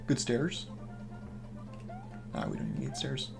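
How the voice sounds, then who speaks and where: Hoarse young man streaming, low-key. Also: wildly swooping pitch, unhurried, music in the background. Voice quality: naturally hoarse voice. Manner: low-key, mumbling, encouraging. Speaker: game streamer. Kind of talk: casual livestream commentary.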